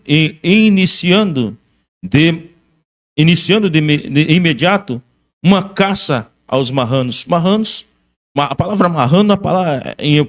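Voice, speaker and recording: A man with a Brazilian Portuguese accent giving a history lecture as a religious teacher, alternating reading aloud with commentary and emphatically repeating key phrases. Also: high voice.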